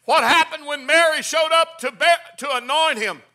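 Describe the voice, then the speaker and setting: A man preaching a Pentecostal sermon, swinging from hushed, quick asides to shouted hallelujahs. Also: very high-pitched. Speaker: pastor. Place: church.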